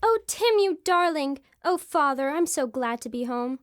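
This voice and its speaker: A woman playing an eager, high-voiced young daughter. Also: animated, normal speed. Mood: affectionate. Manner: eager, affectionate. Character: affectionate young adult daughter. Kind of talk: student radio drama acting.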